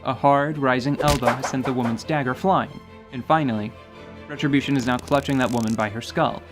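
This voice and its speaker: A man's voice: mid-range voice, a little fast. A man giving dramatic, scripted narration for a fantasy radio play. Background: music.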